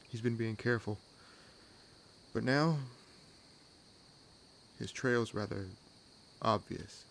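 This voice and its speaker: Low, gravelly, wry vampire played by a man. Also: a little hiss or hum. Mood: wary, calculating. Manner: teasing, sardonic, unhurried with pauses. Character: wry, world-weary one-armed male vampire hunting his own kind. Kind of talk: intimate audio roleplay acting. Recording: nature ambience laid under voice. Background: forest ambience with crickets and insects.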